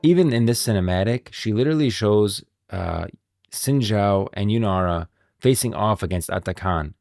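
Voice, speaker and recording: A man's voice: on the low side, normal speed, gravelly, darkish tone, no room sound, faint music behind. A man hosting a stream, giving emphatic, explanatory commentary on video game lore, part read and part off the cuff.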